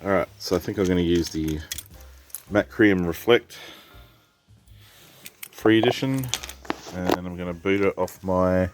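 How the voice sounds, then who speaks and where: A man's voice: deep voice, measured pace, long pauses, a little mellow, faint music behind. A man with a head cold, rambling casually as he repairs a computer and thinks aloud. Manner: rambling, thinks aloud, reads on-screen text. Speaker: PC repair vlogger. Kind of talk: casual rambling commentary while working.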